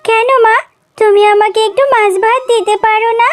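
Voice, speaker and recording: A woman's voice: very high-pitched, flat delivery, normal speed, faint music behind. A woman voicing a little girl fox cub in a children's cartoon, with a childish, insistent way of talking. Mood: whiny, sulky.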